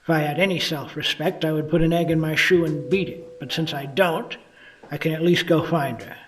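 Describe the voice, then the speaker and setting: An actor playing a gloomy, hard-drinking 1950s police detective, narrating a noir radio drama with wry self-mockery. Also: male voice, a little high, brisk, a bit bright, recorded from a distance, lightly acted. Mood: brooding. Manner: brooding, wry.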